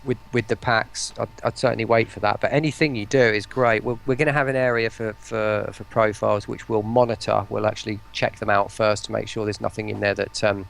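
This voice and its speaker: A man talking casually as a guest on a livestream, explaining things as a developer in a gravelly voice. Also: on the low side, level tone, brisk, hardly any pauses, a bit bright. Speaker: voice pack developer, interview guest.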